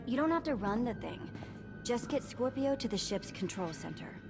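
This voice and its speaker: A woman's voice: fairly high pitch, big pitch swings, moderate pace. A woman playing a brash young thief in a video game, with blunt, slangy, dry delivery. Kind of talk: cinematic video game voice acting. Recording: music behind.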